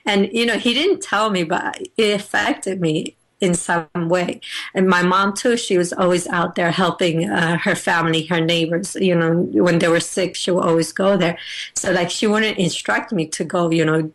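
A middle-aged woman from Afghanistan speaks as a guest on a radio show. Her voice sits a little low, and she talks in a halting way, with frequent restarts and fillers as she gathers her thoughts. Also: fairly even intonation. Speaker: author and teacher, guest. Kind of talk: radio interview.

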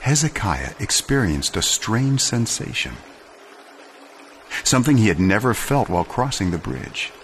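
Male narrator for a dramatic story reading: lower register, widely varied intonation, measured and solemn, faint noise behind. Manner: measured, solemn, expressive storytelling with deliberate pauses.

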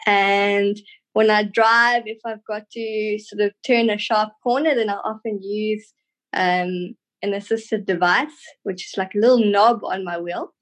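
A woman's voice: medium pitch, fairly even intonation, a little slow. A thirty-year-old woman with a South African accent, answering interview questions casually and a little hesitantly. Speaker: podcast guest, trained tour guide.

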